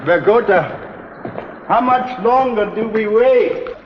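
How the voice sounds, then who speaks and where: High-voiced, anxious old man. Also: takes it slowly. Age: older. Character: anxious old male refugee passenger. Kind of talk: 1950s crime drama acting. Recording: muffled, noisy, echoing old soundtrack.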